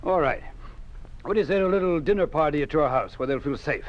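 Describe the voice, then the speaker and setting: An actor in a 1950s radio drama playing a genial, talkative Communist party secretary with a smooth, persuasive manner. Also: male voice, on the high side, animated, quick pace, natural voice. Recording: muffled, noisy old 1950s radio recording.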